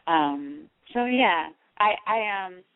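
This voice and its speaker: A woman from Panama speaks in a low, unhurried register, thoughtful and measured, with frequent pauses and restarts. Her voice comes through a tinny phone line with a dry, close sound. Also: animated, no echo. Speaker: guest poet and artist. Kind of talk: conversational interview and reading her own prose. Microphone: phone call.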